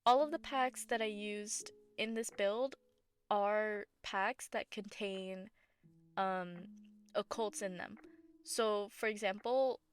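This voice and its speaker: A woman with a scratchy, gravelly voice, hoarse after bronchitis, giving casual voice-over commentary over gameplay. She rambles, packs her talk with fillers, and corrects herself. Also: medium pitch, steady tone, takes it slowly. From Southern United States. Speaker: game builder and channel host. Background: background music.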